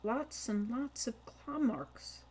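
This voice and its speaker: A woman voicing an anxious, soft-hearted young boy in an audiobook, speaking in a worried, hesitant way. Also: on the high side, expressive, slow pace, slightly rough, heavily acted. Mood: wondering, uneasy. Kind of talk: audiobook character voice.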